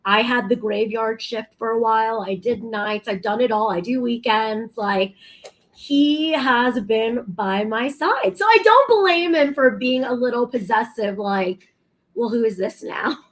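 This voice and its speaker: A woman in her thirties, a talk show guest chatting casually, bubbly and quick to laugh, with many fillers. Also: on the high side. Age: 30s. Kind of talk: casual chat.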